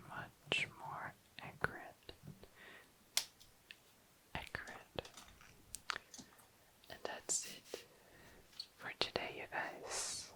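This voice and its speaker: An ASMR host speaking in a breathy, unvoiced whisper, softly commenting on a craft and soothingly repeating words. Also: low-quality recording. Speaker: ASMR video creator, host. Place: indoors at a desk.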